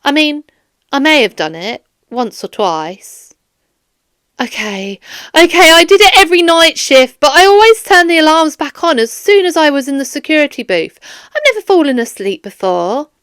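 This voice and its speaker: A woman's voice: sits high, very animated, normal speed, a bit bright, dry recording, studio quality. A woman voicing a flustered, defensive male security guard in a children's audiobook, pleading and protesting emphatically. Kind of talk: children's audiobook character dialogue.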